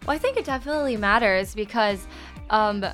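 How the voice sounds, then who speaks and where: A woman's voice: medium pitch, lively intonation, a little fast, fairly bright tone, faint music behind. Young woman interpreter, American accent. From Taiwan. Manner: clear, explanatory, with frequent "um"s. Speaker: guest, interpreter. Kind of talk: explaining on a radio chat.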